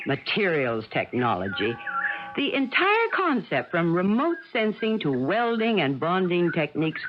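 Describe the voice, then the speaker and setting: Lively middle-aged housewife, vintage radio. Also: female voice, on the low side, a little slow, soft background music. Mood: polite, brisk. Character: modest, brisk scientist's wife and mother, middle-aged. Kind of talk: 1980 radio drama acting. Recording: dull, noisy old radio broadcast.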